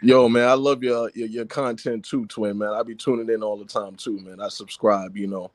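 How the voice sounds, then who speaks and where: A man calling in to a sports show, chatting in a relaxed way. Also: fairly low pitch, moderate pace, no echo, studio quality. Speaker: caller. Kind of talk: casual sports talk.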